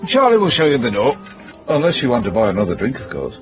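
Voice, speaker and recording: An actor playing a smooth, menacing club boss in a radio drama, clipped and condescending. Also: male voice, medium pitch, huge pitch swings, quick pace, lo-fi sound, soft background music. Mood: cool menace. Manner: clipped, condescending, veiled threats.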